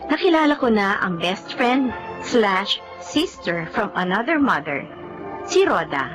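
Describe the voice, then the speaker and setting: A woman with a Filipino accent acting an excitable young best friend in an audio drama. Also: mid-range voice, unhurried, highs cut off, music in the background. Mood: fond narration. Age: young adult. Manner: giggly, exclamatory.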